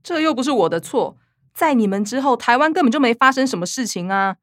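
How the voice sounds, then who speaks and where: An actress playing a reluctant young activist granddaughter with a Taiwanese accent, whiny and indignant, in a radio drama. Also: female voice, fairly high pitch, expressive, fast talker, fairly bright tone, dry recording, clean studio sound. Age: young adult.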